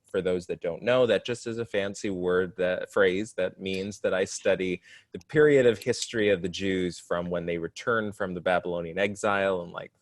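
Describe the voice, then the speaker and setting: A man's voice: low voice, dry recording. A young man in his twenties as a podcast guest, explaining his studies in an articulate way with some self-deprecating humor. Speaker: podcast guest, divinity student. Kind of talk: casual interview chat.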